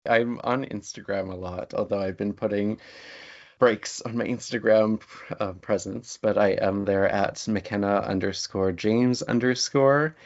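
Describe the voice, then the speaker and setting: Low, creaky-voiced man in casual interview. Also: steady tone, a little slow, muffled recording. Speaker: interview guest, writer and lecturer.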